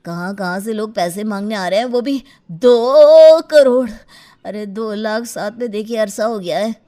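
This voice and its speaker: A woman's voice: medium pitch, animated, a little slow, dark tone, pitched below the performer's own voice, natural voice. A woman voicing a flustered, put-upon young husband in a cartoon story, in an exasperated, colloquial manner. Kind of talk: cartoon story character acting.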